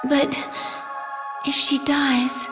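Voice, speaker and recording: A young warrior princess in a fantasy game, dutiful and wistful. Her high, restrained voice rings with long reverb over clear music. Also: flat delivery, extremely slow. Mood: hurt, conflicted. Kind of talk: video game voice acting.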